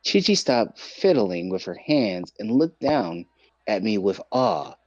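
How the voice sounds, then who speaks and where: A man's voice: fairly low pitch, very animated, takes it slowly, a little mellow, no echo, highs cut off. A man reading visual novel narration aloud as a streamer.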